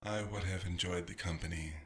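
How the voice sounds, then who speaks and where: A man playing a nostalgic, fastidious vampire doctor in an ASMR roleplay, murmuring to himself in an unhurried, gently wistful way. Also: low-pitched, steady tone, close to an everyday voice. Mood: calm, musing.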